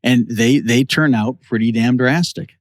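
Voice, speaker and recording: A man's voice: mid-range voice, a little slow, dry recording, clean studio sound. A man giving conversational commentary as a podcast co-host.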